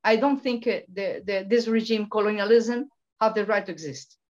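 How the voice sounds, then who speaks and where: Emphatic woman from Venezuela, halting delivery. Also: mid-range voice, fairly even intonation. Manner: halting, many restarts and repetitions, emphatic. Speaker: guest, political researcher. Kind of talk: impassioned interview talk. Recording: dull lo-fi sound.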